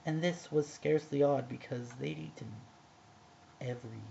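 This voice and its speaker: A man recites a narrative poem expressively. His voice sits a little high and is quite creaky. The home recording sounds slightly dull. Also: fairly even intonation, moderate pace, noisy background. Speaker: amateur poetry reader.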